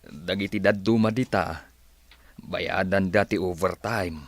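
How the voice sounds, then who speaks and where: Low-voiced downtrodden Ilocano labourer. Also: male voice, unhurried, gravelly, no echo, heavily acted. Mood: aggrieved, resigned. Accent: Ilocano Filipino. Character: downtrodden, complaining farm labourer and father. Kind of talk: Ilocano radio drama acting.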